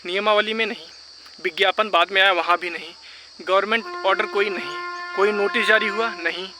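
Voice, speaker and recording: A man's voice: high-pitched, steady tone, brisk, sharp tone, soft background music. A man giving informal, argumentative advice, insistently repeating his points and mixing in English words. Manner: mixes English words into speech. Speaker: vlogger advising exam candidates.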